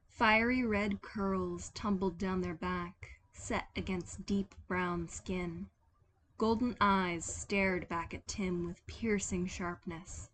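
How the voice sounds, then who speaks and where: Slow-paced woman narrating a story. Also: medium pitch. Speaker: audiobook narrator. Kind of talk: scripted fan fiction narration. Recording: clear background noise.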